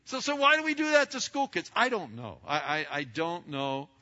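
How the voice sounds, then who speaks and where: A man's voice: high voice, huge pitch swings, moderate pace, bright, forceful tone. A middle-aged male pastor preaching a sermon to his congregation in a big, emphatic voice, with many stammered restarts. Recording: lo-fi recording.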